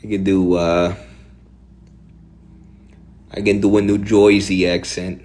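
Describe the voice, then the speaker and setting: Low-voiced Midwestern streamer. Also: male voice, flat delivery, darkish tone. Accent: Midwestern American. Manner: rambling, fast-shifting, sardonic. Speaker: political streamer. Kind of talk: casual live-stream political talk.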